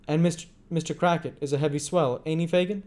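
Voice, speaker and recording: Man doing a gullible young pickpocket, high-pitched. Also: steady tone, fast talker, a little mellow, natural voice. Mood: eager, admiring. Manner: eager, naive, seeking agreement. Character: gullible, boastful young male pickpocket eager for approval. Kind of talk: acted dialogue in a classic novel reading.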